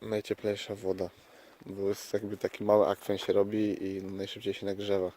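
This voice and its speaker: A man's voice: lowish voice, monotone, moderate pace, mellow. A man from Poland chatting casually and unscripted as a travel vlogger, outdoors. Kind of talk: casual vlog chat, unscripted. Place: outdoors by a lake. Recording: noisy outdoor recording with clicks.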